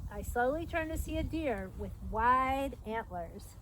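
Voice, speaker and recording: A middle-aged woman with a high voice reads a picture book to small children. She tells it simply and breaks off for short explanations. The recording is made outdoors, with clearly audible noise around her. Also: lively intonation, a little slow, fairly bright tone. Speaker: storytime reader, parks staff. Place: outdoors at an open trailhead by a fence.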